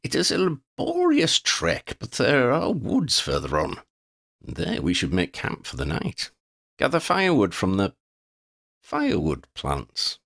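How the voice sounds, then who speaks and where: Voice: mid-range voice, wildly swooping pitch, measured pace, gravelly, fairly bright tone, dry recording, studio quality, voice pushed up, exaggerated voice. A man voicing a gangly, muddle-headed wandering vagabond in a storybook, with quaint old-fashioned phrasing and a rambling, easily distracted manner. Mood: excited, whimsical.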